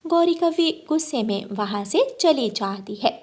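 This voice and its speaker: A woman narrator reading a scripted children's animated story. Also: sits high, a little slow.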